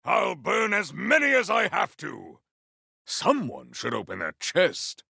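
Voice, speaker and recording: A male voice actor playing a grandiose, battle-hungry video game warrior, declaiming in a bombastic, archaic style with a rough, gravelly voice. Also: high voice, wildly swooping pitch, slow pace, bright, forceful tone, no echo, shouting, clean studio sound, heavily acted. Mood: grim satisfaction. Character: grandiose battle-hungry warrior wielding fire, frost and storm. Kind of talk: video game combat barks.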